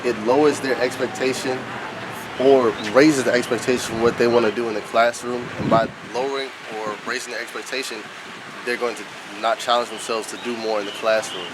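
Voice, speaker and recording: A man's voice: mid-range voice, little pitch movement, brisk, very noisy. A young man, a college freshman, explaining his views plainly in an interview. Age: young adult. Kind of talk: interview answers.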